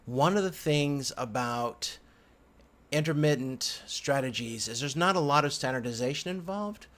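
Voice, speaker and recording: A man giving an informal tutorial as an instructor, thinking aloud in halting phrases with frequent restarts. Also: medium pitch, fairly bright tone. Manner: halting, hesitant phrasing with repeated word restarts. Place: indoors at a computer. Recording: clear background noise, keyboard typing.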